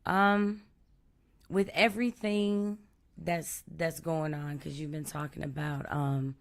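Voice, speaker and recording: A woman from Texas, hosting a live stream in a relaxed, chatty way over an online call. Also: low voice, slow pace. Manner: relaxed, chatty, open about personal experience. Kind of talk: casual chat. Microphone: online call or stream mic.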